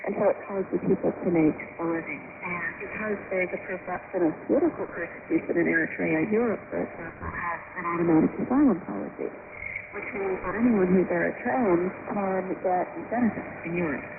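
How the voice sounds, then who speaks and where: A woman's voice: lowish voice, brisk, phone-quality sound, background noise. A woman giving interview commentary as a policy expert, heard through a shortwave radio broadcast.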